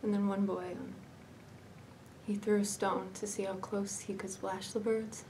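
A woman performing a dramatic monologue as a fragile, haunted young woman, speaking haltingly with hesitant pauses and repetitions. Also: medium pitch, little pitch movement, darkish tone, distant mic, a little hiss or hum, natural voice. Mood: uneasy, halting.

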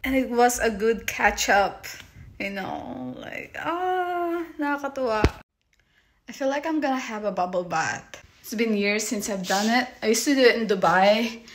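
A young Filipino woman talks to her phone at arm's length, relaxed and chatty. Her voice sits a little high, and she slips easily into Tagalog phrases. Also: measured pace, noisy background. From Philippines. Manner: chatty, soft and relaxed, drops into Tagalog words. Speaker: vlogger. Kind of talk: casual vlog chat. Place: bedroom and bathroom at home. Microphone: phone camera at arm's length.

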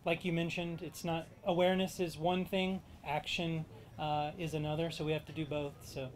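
Man in his thirties, outdoor park speech on a stand mic; halting, filler-heavy delivery. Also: a little high, level tone, slight background noise. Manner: halting, many fillers and restarts. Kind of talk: public speech. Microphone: handheld mic on stand. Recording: faint outdoor ambience.

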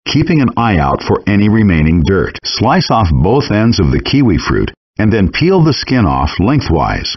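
A man voicing a calm chef instructor in a video game, reading measured step-by-step cooking instructions. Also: on the low side, breathy edge. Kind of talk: scripted game voice lines. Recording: dull lo-fi game audio rip.

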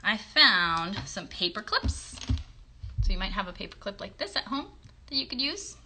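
A woman's voice: mid-range voice, big pitch swings, normal speed, sharp tone, a little hiss or hum. A woman in her thirties casually hosting a children's craft demonstration, encouraging young viewers with questions. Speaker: children's puppet show host. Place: bedroom at home. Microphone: phone at about arm's length.

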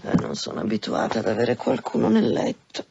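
Sultry, lilting brothel madam. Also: female voice, rumbling low, very animated, a little fast, gravelly, background noise, exaggerated voice. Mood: intimate, wry. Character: sultry, teasing, proud female brothel madam. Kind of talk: radio drama acting. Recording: dull band-limited radio drama with music.

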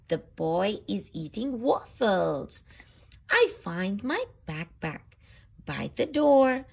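A woman reading a picture book aloud for young children, with deliberate phrasing and expressive emphasis. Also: sits high, creaky.

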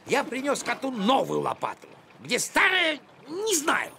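Vain cartoon postman, high bright voice, pompous, officious, melodramatic, middle-aged. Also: wildly swooping pitch, unhurried, vocal fry, a little hiss or hum, heavily acted. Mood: smitten, flattering. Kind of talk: animated cartoon acting.

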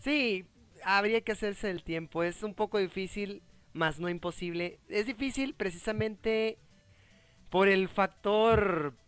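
Man, young adult, smooth resonant voice, casual gaming host chat. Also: high voice, measured pace, fairly bright tone. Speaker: game show host. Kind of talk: casual chat while playing.

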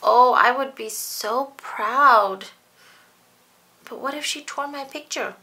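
A woman's voice: sits high, takes it slowly, bright, forceful tone, pitched above the performer's own voice, heavily acted, poor audio. A woman voicing an eager, boastful little blue male monster in a storybook read-aloud. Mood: proud.

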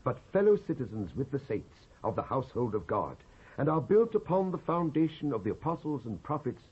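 A British man giving a solemn, declamatory dramatised scripture reading in measured phrases with pauses. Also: medium pitch, very animated, slightly rough. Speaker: Bible reader, actor. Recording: dull lo-fi cassette transfer.

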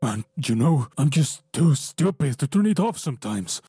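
Brief: male actor as a hulking dog brawler, warm dark voice, stumbling over his words. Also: mid-range voice, sing-song, breathy edge, dry recording, heavily acted. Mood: apologetic. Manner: halting, apologetic. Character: massive male dog fighter, gruff.

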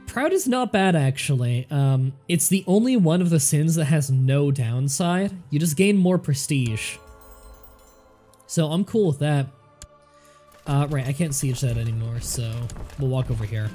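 A man with a nasal voice, a bit more congested than usual, keeps up a running, easygoing commentary on his strategy game. His voice sits a little higher than most men's and he often talks straight to the characters on screen. Soft music plays faintly behind him. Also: animated, a little slow. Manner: chatty, thinks aloud, talks to game characters. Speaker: let's play commentator. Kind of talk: casual gameplay commentary.

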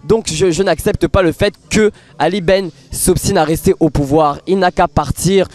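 Passionate young man, high voice. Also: fairly even intonation, moderate pace, a little mellow. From Morocco. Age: young adult. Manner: passionate, stumbling restarts. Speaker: interviewee. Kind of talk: street interview statement. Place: city street at night, outdoors. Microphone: handheld reporter mic.

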